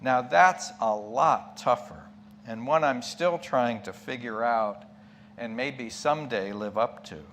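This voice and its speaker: A man's voice: medium pitch, slow, fairly bright tone. A middle-aged or older man giving a sermon as a lay preacher, reflective and emphatic, posing questions and dropping in asides. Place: church pulpit. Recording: clear background hum and noise.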